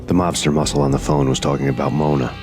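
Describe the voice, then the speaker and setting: An actor playing a grim, hard-boiled cop who narrates in a deadpan, world-weary voice-over over game music. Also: male voice, very deep voice, quick pace. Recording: game soundtrack music behind.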